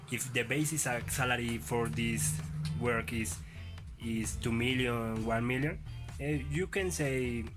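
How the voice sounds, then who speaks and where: A young man presenting interview advice in halting English, with frequent restarts. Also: mid-range voice. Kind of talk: casual advice video.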